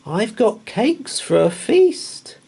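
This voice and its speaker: A man voicing an excitable, daring young boy detective in a storybook, with dramatic, exclamatory delivery. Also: sits high, slow pace, gravelly, breathy edge, voice pushed up, exaggerated voice. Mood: triumphant.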